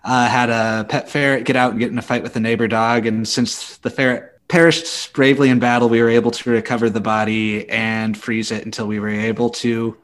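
Man casually co-hosting, mid-pitched voice. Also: level tone, fairly quick, hardly any pauses, a bit bright. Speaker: podcast co-host. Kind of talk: casual chat and anecdote.

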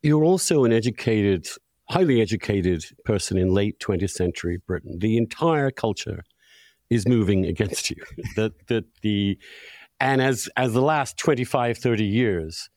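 A man hosting an interview, putting long, discursive questions in a deliberate, thoughtful way. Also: on the low side, animated, a little mellow, no room sound, studio quality. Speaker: podcast host, interviewer.

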